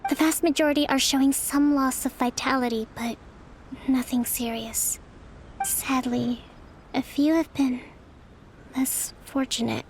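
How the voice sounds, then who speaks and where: High-voiced, composed young commander. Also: female voice, fairly even intonation, slight background noise. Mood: grave, concerned. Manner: measured, formal, deliberate. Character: young female military leader, thoughtful, composed, caring. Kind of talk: video game voice acting. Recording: music behind the voice.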